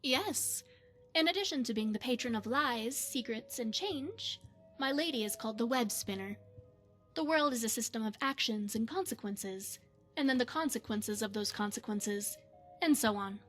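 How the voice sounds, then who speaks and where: A woman voicing a poised, secretive retired spy and mage in a fantasy game, speaking in a measured, formal, coy way. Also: a little high, animated, a bit bright, soft background music. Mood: devout, measured. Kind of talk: scripted fantasy game character dialogue.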